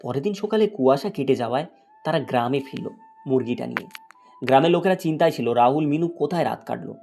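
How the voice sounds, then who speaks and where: A man's voice: mid-range voice, lively intonation, fast talker, gravelly, dark tone, soft background music. A man narrating a scripted moral fairy tale as a storyteller.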